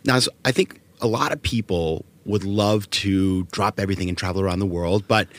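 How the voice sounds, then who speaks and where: A man's voice: low-pitched, normal speed. A man hosting a podcast interview in a conversational style, recorded in a studio.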